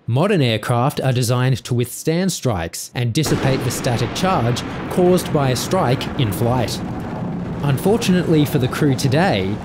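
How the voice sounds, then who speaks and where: A man's voice: mid-range voice, a little mellow. A man reading scripted explanatory narration for an aviation incident video.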